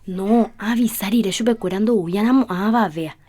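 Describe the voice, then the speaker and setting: A woman's voice: mid-range voice, moderate pace, warm tone, close to an everyday voice. An actress playing a sociable village woman who organises her neighbours in a radio soap opera. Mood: friendly, persuasive.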